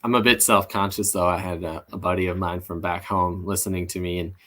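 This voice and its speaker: A man's voice: low-pitched, flat delivery, brisk, bright, forceful tone. A man with a Midwestern American accent mixed with some Australian, chatting casually as a podcast guest. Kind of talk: casual chat.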